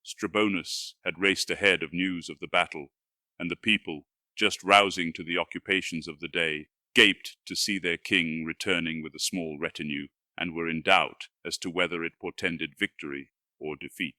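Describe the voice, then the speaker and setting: A man narrates dramatic fiction in a low voice with a forceful, bright edge. The delivery is grand and measured, like scripted audiobook storytelling, and the sound is very dry and close. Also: steady tone, dry recording.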